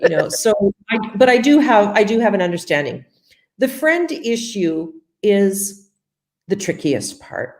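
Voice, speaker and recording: A woman's voice: medium pitch, very animated, unhurried, echoey room. A woman from Canada, the guest, talking casually with lots of fillers and acting out little exchanges. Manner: chatty, many fillers, acts out quoted exchanges. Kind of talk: casual interview chat.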